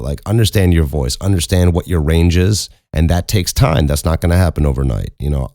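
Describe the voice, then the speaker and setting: Young guy with a super low voice rambling thru a q and a, cussing, poking fun at himself, dead dry room. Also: dark tone, no room sound. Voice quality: very low speaking voice. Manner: rambling, self-mocking, swears casually. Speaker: podcast host and voice actor. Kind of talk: casual Q and A chat.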